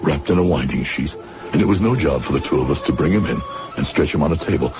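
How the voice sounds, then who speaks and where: An actor playing a bitter, regretful medical assistant in an old-time radio drama, with a brooding, dramatic delivery. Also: male voice, very deep voice, animated, fast talker. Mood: grave, confiding. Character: regretful, bitter male medical assistant telling his downfall. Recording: muffled radio recording with loud music.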